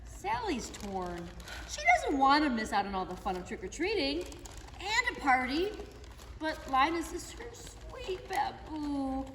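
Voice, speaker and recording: Woman storyteller, animated read-aloud, distant mic, faint noise behind. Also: high voice, measured pace. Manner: animated, expressive. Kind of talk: reader's theater narration. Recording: echoey hall, mic far off.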